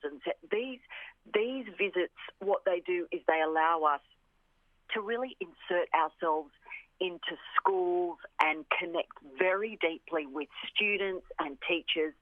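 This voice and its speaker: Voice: mid-range voice, no echo. An orchestra representative giving a radio interview, explaining with enthusiasm and repeating words for emphasis. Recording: phone-like line on radio.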